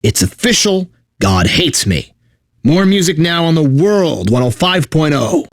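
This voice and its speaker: A man's voice: fairly high pitch, sing-song, unhurried, no room sound, studio quality, exaggerated voice. An actor playing a bitter, sardonic video game radio DJ who resents his corporate bosses, mixing station patter with theatrical despair. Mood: bitter exasperation.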